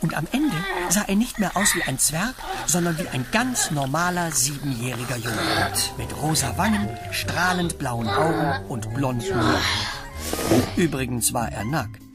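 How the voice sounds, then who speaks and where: A man's voice: a little high, animated, measured pace, loud music behind the voice. A man narrating a children's radio play as a scripted storyteller.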